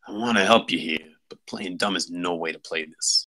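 A man's voice: deep voice, normal speed, pushed and bright. A man playing a curt, intimidating police lieutenant at a table read of a crime drama. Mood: stern, pressing. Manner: curt, commanding. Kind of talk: script table read acting.

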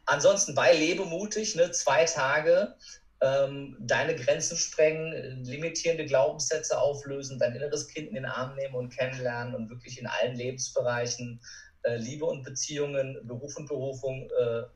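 A man's voice: mid-range voice, fairly even intonation, normal speed. Guy coach as podcast guest, earnest motivational talk, roomy sound, bit far from mic. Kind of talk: conversational interview answers.

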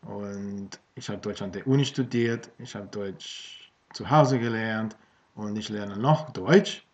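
A man's voice: fairly low pitch, slow pace, a little mellow. A man in his thirties from Australia, a native English speaker, talking casually in a learned language with many fillers and restarts, filmed by a webcam at home. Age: 30s. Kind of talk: casual vlog in German. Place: small room at home with bookshelves. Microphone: webcam at desk. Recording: dull lo-fi webcam audio.

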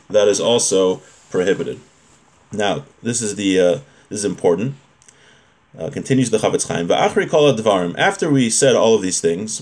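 A man in his thirties or forties teaching informally, reading out Hebrew text and explaining it in colloquial English, repeating key points. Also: mid-range voice. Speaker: religious teacher. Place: small room at home, indoors.

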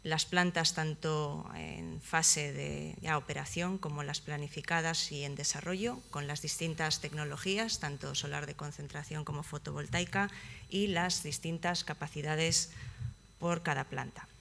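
A young woman giving a conference presentation, pausing often with fillers and restarting phrases, seated at a table in a conference hall. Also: deep voice, steady tone, vocal fry, fairly bright tone, slight background noise. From Basque Country, Spain. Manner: hesitant, many fillers and restarts. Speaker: project manager presenting at a conference.

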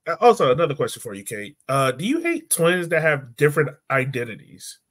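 Give this one a man in his thirties with a fairly high voice and very animated intonation. He hosts a sports show in a casual way, teasing and playing around as he talks. It is recorded at home on a video call. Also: a little fast. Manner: playful, teasing. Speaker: podcast host.

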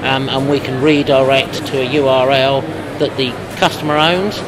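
A man in his fifties being interviewed at a trade show, explaining things in a measured, businesslike way. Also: medium pitch, fairly even intonation, low-quality recording. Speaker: company representative being interviewed. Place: busy exhibition hall booth. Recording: loud trade-show crowd noise. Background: loud crowd hubbub.

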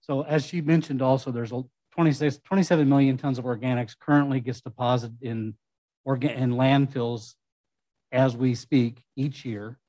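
Mellow-voiced man presenting to a council. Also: medium pitch, fairly even intonation, moderate pace, no echo, rough recording. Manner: conversational, with restarts and fillers. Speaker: waste management consultant.

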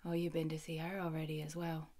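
A young woman playing a gentle, affectionate, playful rogue in a fantasy ASMR roleplay, speaking softly and intimately with rustic phrasing, often in a whisper. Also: low voice, monotone, fast, a little hiss or hum. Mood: fond, welcoming. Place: small wood-panelled room indoors. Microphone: phone at close range.

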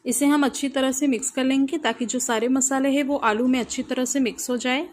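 A woman hosting a cooking channel, calmly narrating a recipe step by step in her home kitchen. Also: high voice, fairly quick, reverberant. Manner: calm, instructional, repeats "we will add".

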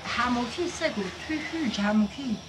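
A woman baker speaking emphatically and with feeling while working in a village bakery. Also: fairly high pitch. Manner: emphatic, animated, emotional. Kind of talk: casual chat. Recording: loud background noise.

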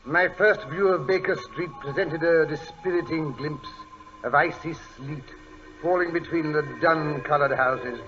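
An actor playing a genial, bumbling British doctor sidekick in a chatty, hesitant manner, in 1940s radio style. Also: male voice, on the high side, unhurried, a bit bright. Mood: reminiscing. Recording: dull old radio broadcast recording.

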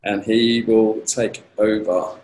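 A man with a slightly croaky voice answering questions as a guest speaker on a video call, measured and conversational. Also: lowish voice, level tone, darkish tone, slight background noise. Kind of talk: conversational conference Q&A. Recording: roomy echo on a video call.

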